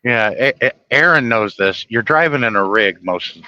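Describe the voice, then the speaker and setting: A man talks like a trucker on a casual livestream, blunt and folksy. His voice is bright and forceful at a middle pitch. The sound is dry, with slightly muffled fidelity. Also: animated, moderate pace, dry recording. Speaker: trucker livestreaming while working.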